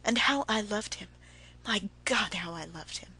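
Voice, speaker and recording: A woman's voice: mid-range voice, expressive, a little slow, bright, forceful tone, breathy edge, full character voice. A woman voicing an anguished, betrayed wife who cries out in rising, heated protest. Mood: grieving.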